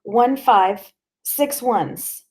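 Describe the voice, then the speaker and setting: A woman voicing a terrified street boy begging and sobbing through a police interrogation. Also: extremely slow. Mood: frantic. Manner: desperate, shrill, sobbing.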